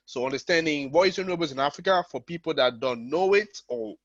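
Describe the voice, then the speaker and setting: A man's voice: on the high side, fast, no echo. A man giving an informal webinar presentation as a company founder, repeating words and checking in as he goes. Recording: dull, lo-fi online call audio.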